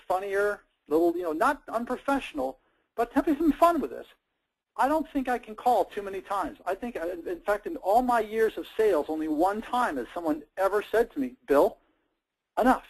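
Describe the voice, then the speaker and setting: An American man with a high-pitched voice coaches salespeople as host. His delivery is very lively in pitch, conversational and emphatic, built on short punchy statements. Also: low-quality recording.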